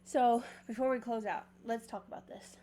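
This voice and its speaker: A woman's voice: a little high, fairly even intonation, normal speed, dark tone. Chatty young woman leading the talk. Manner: chatty, asks questions, steers the talk. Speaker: podcast co-host. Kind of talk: casual chat.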